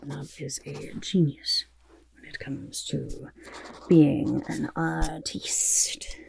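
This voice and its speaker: A woman voicing a vain, excitable goblin artist in an ASMR roleplay, often whispering, with a gravelly creak and pompous drawn-out words. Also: deep voice, exaggerated voice. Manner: third-person self-praise, pompous drawn-out words.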